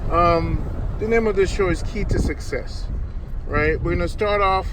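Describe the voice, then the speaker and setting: A man's voice: high-pitched, moderate pace, background noise. A man hosting an interview show, prompting his guest in an encouraging, showman-like way. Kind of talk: enthusiastic host interview.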